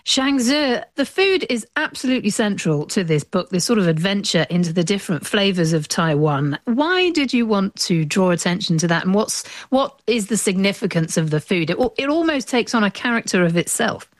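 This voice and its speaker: A woman's voice: medium pitch, lively intonation, normal speed, hardly any pauses, no echo. A woman presenter hosting a book interview, asking conversational questions with small restarts. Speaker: radio host.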